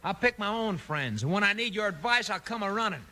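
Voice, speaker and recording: A brash young cowboy outlaw with a high, piercingly sharp voice. He talks boastfully and defiantly in a Western accent, in vintage radio western style. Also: animated, fairly quick. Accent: American Western. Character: cocky, reckless young male outlaw gunslinger. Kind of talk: 1950s radio western acting.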